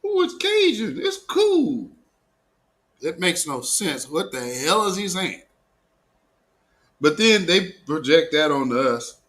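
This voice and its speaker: A man's voice: fairly high pitch, wildly swooping pitch, takes it slowly, long pauses, fairly bright tone. An American man hosting a live commentary show, talking in a casual, opinionated, mocking and sarcastic way. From Portland, Oregon, United States.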